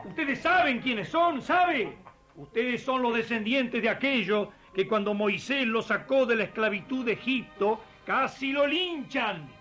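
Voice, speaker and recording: Man acting a fiery, high-pitched defiant preacher. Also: bright, forceful tone. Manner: indignant, accusing, declamatory. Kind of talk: radio drama acting.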